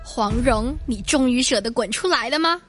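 A scornful martial arts villainess acted by a woman in a radio play. She is domineering and taunting in a fairly high voice, with light music underneath. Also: animated, normal speed, close to an everyday voice. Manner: haughty, taunting. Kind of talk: radio drama acting.

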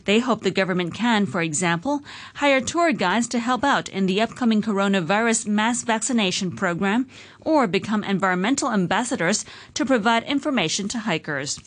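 A woman reads the radio news bulletin in a measured, steady delivery, pitched in the middle for a woman. She runs through the headlines like a practiced anchor. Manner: measured, even newsreader delivery. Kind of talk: scripted radio news reading.

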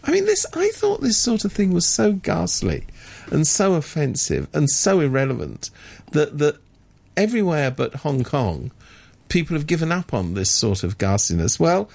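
A man's voice: a little high, wildly swooping pitch, normal speed, gravelly, darkish tone. A man talking as a regular guest on a radio show, indignant and sardonic, often restarting his sentences. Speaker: regular commentator. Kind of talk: radio studio discussion.

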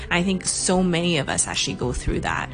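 Female host, low-pitched, "you know" fillers. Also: very animated, brisk, gravelly, music in the background, rough recording. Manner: warm questioning, many "you know" fillers. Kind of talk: interview hosting.

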